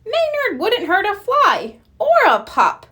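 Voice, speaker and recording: A woman's voice: very high voice, huge pitch swings, slow pace, pushed and bright, pitched above the performer's own voice, full character voice. A woman voicing a kindly, cheerful older woman in a children's storybook, with a sweet, sing-song manner. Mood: cheerful, reassuring. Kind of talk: children's storybook read-aloud.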